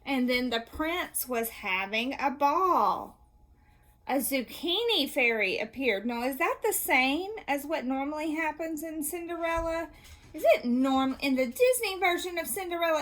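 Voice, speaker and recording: A woman with a high, very expressive voice speaks as a teacher to young children. She takes her time, in an encouraging read-aloud style, posing simple questions and confirming the answers. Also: unhurried. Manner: encouraging, praising, asks questions and answers them.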